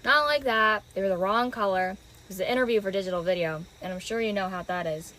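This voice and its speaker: A woman playing a smug, self-assured investor, a scheming man with measured delivery, in an old-time detective radio drama. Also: mid-range voice, sharp tone, close to an everyday voice, rough recording.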